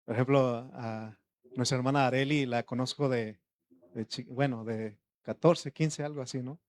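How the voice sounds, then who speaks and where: Conversational preacher, rhetorical questions. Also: male voice, mid-range voice, fairly even intonation, moderate pace, darkish tone. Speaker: pastor. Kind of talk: informal sermon.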